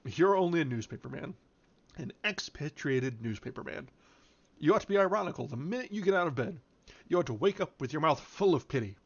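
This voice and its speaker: A man's voice: on the high side, animated, fairly quick, vocal fry, slightly rough, voice pushed up, heavily acted. A man voicing a boisterous American man who needles and mock-preaches in declamatory audiobook dialogue. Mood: mock scolding. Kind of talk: acted dialogue in an audiobook reading. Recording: dull lo-fi recording, music behind.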